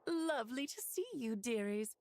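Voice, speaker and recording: A woman's voice: a little high, animated, normal speed, darkish tone. A woman voicing a playful, theatrical mother mage who talks in dainty, gushing endearments in an anime game. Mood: teasing, delighted.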